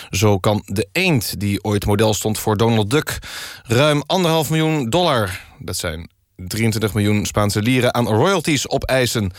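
A man presenting a mock radio magazine in a deadpan, mock-formal way with small hesitations. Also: mid-range voice, no echo, clean studio sound. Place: radio studio.

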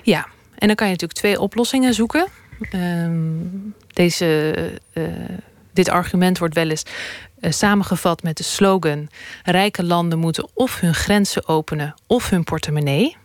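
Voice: mid-range voice, moderate pace, dry recording. An interview guest reasoning step by step in a reflective, argumentative way, like an academic in a studio conversation.

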